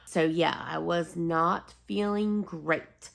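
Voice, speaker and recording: A woman vlogger chatting casually and rambling apologetically, slightly raspy and sniffly as she gets over a cold. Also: on the low side, steady tone, takes it slowly. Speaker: host of a home fragrance video channel. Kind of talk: casual rambling vlog chat.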